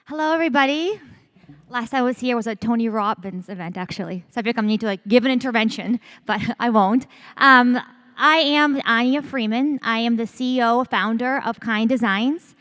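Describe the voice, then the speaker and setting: A woman from Ukraine, a company founder, giving a lively conference pitch talk on stage. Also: normal speed. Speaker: startup founder and CEO.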